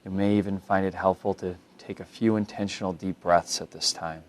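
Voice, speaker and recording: A man leading a guided body scan meditation as a mindfulness instructor, speaking in a calm, unhurried and gently instructive way. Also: low voice.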